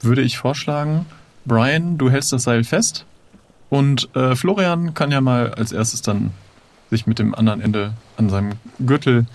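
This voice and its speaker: A man's voice: medium pitch, unhurried. A man leading fellow players through an improvised adventure game, hesitating and thinking aloud as he plans. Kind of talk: improvised tabletop roleplay talk.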